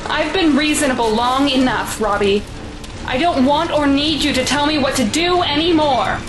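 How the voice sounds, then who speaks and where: A woman's voice: high-pitched, normal speed, over music, close to an everyday voice, low-quality recording. A woman acting a stubborn, fiercely protective mother in an audio drama, emotional and insistent. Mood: defiant.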